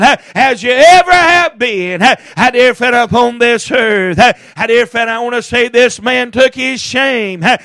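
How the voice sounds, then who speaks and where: A man delivers a hellfire sermon in a very high, strained register, chanting each phrase and tacking on "uh, and everything" again and again. He draws key words out slowly and breaks into shouts. The sound is dry and close, with no room echo. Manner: chanted preaching cadence with constant "uh, and everything" fillers. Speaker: preacher.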